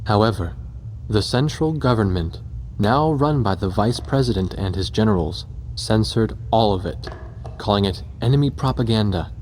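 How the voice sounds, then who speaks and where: A man acting as a reflective narrator, recounting a war in a measured, scripted way for a game cutscene. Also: lowish voice, slight background noise, lightly acted. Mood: sober, reflective. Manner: measured, sober storytelling. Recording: loud music under the voice.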